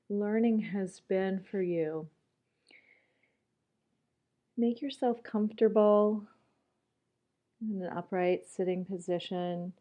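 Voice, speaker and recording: A woman guides a meditation in a mellow, fairly low voice. She speaks very slowly and gently, leaving long pauses between calm instructions. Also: lo-fi sound.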